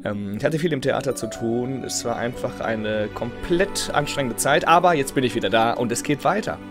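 A man in his thirties with a cold and a slightly nasal voice comments casually on a game. He chats freely and reads in-game letters aloud. He speaks through a headset at home, with game music clearly audible behind him. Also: mid-range voice, moderate pace. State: has a cold. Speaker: let's play host. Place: home gaming room, indoors.

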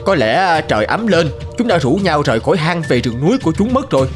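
A man playing a distraught, regretful middle-aged sheep farmer in an animated fable, exclaiming and lamenting. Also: fairly high pitch, fast talker, faint music behind. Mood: dismayed.

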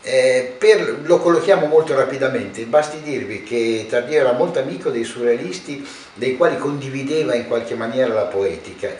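An older man speaks at a middle pitch, explaining things like a learned stage director. His delivery is measured and broken by thoughtful pauses. The room adds noticeable echo, and there is clear noise behind him. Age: older, around 70. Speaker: theatre director being interviewed. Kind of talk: reflective explanatory talk to camera. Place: small living room with shelves, indoors.

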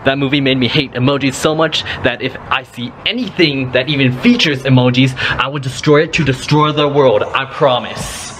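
Comedy sketch player, mid-pitched, playful and over the top, noisy home recording. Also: fairly even intonation, normal speed, hardly any pauses.

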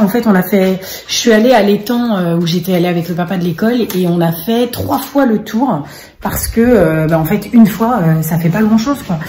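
A woman in her thirties chatting casually into her phone at home for a daily family vlog, rambling with many fillers, her voice a little hoarse. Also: mid-range voice, dark tone, a little hiss or hum. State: slightly hoarse. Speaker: vlogger, single mother of five. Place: home, living room and kitchen, indoors. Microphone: phone held at arm's length.

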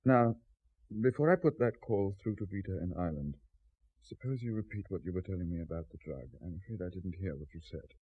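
Intense worn-out british guy in an old radio play, breathy, halting, close dry sound. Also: low-pitched, lively intonation, no room sound, narrow, tinny audio. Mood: brisk, relieved. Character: weary, obsessive modern Englishman in a drug's grip. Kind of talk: 1970s British radio drama acting.

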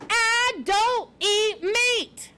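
Woman playing a grouchy bellowing porcupine with drawn-out words. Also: sits high, very animated, pushed and bright, voice pushed up, heavily acted. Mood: indignant. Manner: bellowing, drawn-out emphatic delivery. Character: grouchy, blustering male porcupine, loud and brash. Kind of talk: children's storybook read-aloud.

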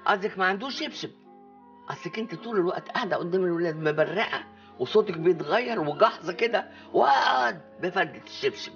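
Older Egyptian auntie, TV comedy, bossy, cunning, theatrical drawl, fry in the voice, animated melody. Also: female voice, on the low side, fast talker, lo-fi sound, soft background music. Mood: scheming. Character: bossy, theatrical older aunt giving cunning marital advice. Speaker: actor in a TV comedy series. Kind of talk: comic TV drama dialogue. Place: living room set, indoors.

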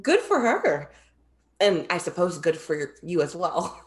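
A woman's voice: on the low side, big pitch swings, measured pace, a little hiss or hum. A young woman playing a proud, playful Greek muse with theatrical, formal wording in a play reading. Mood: assertive. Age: young adult. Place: indoor room, video call.

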